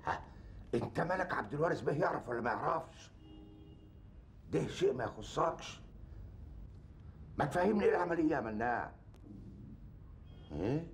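A sly Egyptian villager in a TV drama, his mid-pitched voice low-key and calculating as he strikes a shady deal. Faint background noise hangs behind him. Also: vocal fry. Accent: Egyptian Arabic. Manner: scheming, sly, fawning toward superiors. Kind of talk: TV drama acting.

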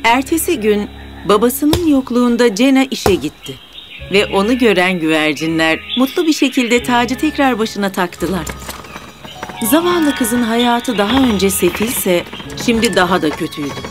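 Woman narrator, fairy-tale storytelling, slightly high voice, clear music behind. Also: lively intonation, normal speed. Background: background music.

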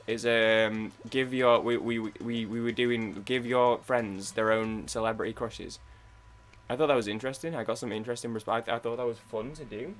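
A man's voice: mid-range voice, a bit bright. A young man giving casual, rambling commentary over a video game, often stopping mid-word and restarting, with game music playing behind him. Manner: rambling, self-interrupting, hums and sings along. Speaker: gaming YouTuber.

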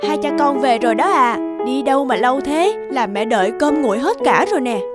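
A woman voicing a caring, gently chiding young mother in an animated cartoon. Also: high voice, fairly quick, over loud music. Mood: teasing, chiding.

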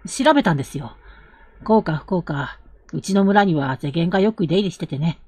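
A woman voicing a calm, protective young man, an elder brother in a Japanese period audio drama, with a husky voice and measured, earnest delivery. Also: fairly low pitch, dry recording. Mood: bitter, restrained.

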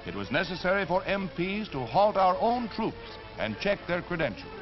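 A man's voice: high voice, sing-song, moderate pace, music in the background. An American man reading scripted 1940s newsreel narration in a dramatic, formal announcer style. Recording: dull 1945 newsreel film soundtrack.

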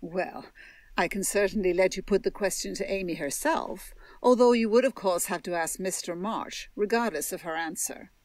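A woman's voice: mid-range voice, moderate pace, dry recording, natural voice. An actress playing a stern, haughty older society lady in a period audio drama, formal and imperious. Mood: formal, composed.

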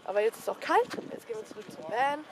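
A young woman vlogging on the coast, excited and full of casual slang. She has a slight cold. Her voice is caught outdoors on a handheld camera. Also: sits high, fast talker, gravelly, over a noisy crowd, rough recording. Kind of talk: casual travel vlog chat.

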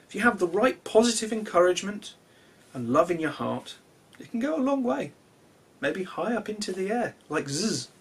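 Male storyteller, thirties, lively swooping intonation, slightly husky, gentle children's read-aloud. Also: high voice. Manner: animated, simple and gentle address to young listeners.

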